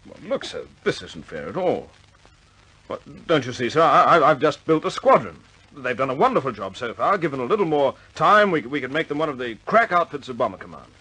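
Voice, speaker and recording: An earnest young British airman in a 1950s war film. His slightly husky voice rises and falls sharply, with clipped, stammering phrases and respectful formality. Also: medium pitch, sing-song, brisk, sharp tone, full character voice, low-quality recording. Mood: pleading, indignant. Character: earnest, proud young RAF squadron leader.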